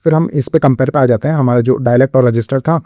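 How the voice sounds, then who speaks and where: A man with an Indian accent lectures like a coaching-class teacher, explaining step by step and pausing to check understanding. His voice is dark and mellow, recorded close and dry with no room sound. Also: mid-range voice, fairly even intonation, dry recording. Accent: Indian English. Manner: explanatory, repeats "theek hai" to check understanding. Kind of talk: exam-prep lecture.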